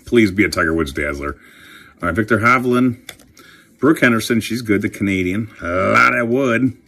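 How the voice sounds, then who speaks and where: A man chatting casually and excitably while opening trading card packs, swearing now and then. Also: lowish voice, moderate pace, gravelly. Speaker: career coach and card collector host.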